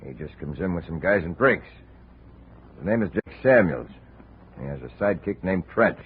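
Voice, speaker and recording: Whiny bartender, low voice that swings a lot, tinny old radio sound. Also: male voice, lively intonation, moderate pace, husky, heavily acted. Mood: evasive. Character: nervous male bartender, whiny and evasive. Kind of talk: 1950 radio drama acting.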